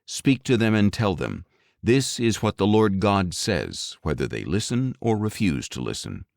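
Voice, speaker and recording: Man, low-pitched, expressive intonation, measured solemn scripture narration, dramatic delivery. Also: creaky, dry recording, clean studio sound. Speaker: audiobook narrator.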